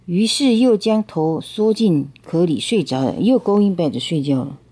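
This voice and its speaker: A woman with a soft-edged, very mellow voice reads a storybook to a child. Her pitch is low for a woman. She switches between reading and plain bilingual explanation, often asking small questions to check understanding. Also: expressive, moderate pace, slightly rough. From Taiwan. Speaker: parent reading a picture book to child.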